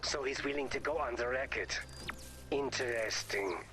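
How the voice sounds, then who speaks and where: A man voicing a literal-minded, politely sardonic robot teammate in a video game, deadpan and formal, with music behind. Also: mid-range voice, normal speed, noisy background, natural voice.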